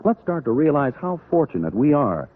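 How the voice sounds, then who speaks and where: A man's voice: on the high side, sing-song, a little fast. A man with an American accent reading scripted announcements as a 1940s radio commercial announcer. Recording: tinny, hissy vintage radio recording.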